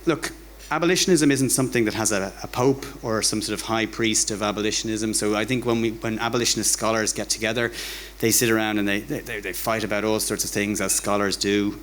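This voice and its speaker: A man with an Irish accent and a fairly low voice speaks to a live audience in an informal lecture style. His delivery is conversational and wry, with words often repeated. There is faint noise from the venue behind him. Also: steady tone, a little fast, fairly bright tone. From Ireland. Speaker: academic giving a public talk. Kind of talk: informal public lecture with dry humour. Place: bar hosting a talk night.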